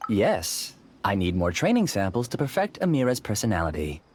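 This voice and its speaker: An actor playing a polite, calculating, obsessed scholar in a video game, with a courteous, theatrical delivery. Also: male voice, on the low side, huge pitch swings, moderate pace, a little mellow, heavily acted. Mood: wistful, confessional. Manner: courteous, theatrical, smooth-talking.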